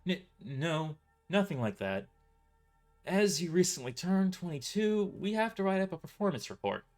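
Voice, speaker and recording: A man's voice: high voice, very animated, moderate pace, darkish tone, faint music behind, voice pushed up. Man voicing a nervous, stammering young male dispatcher. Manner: hesitant, stammering.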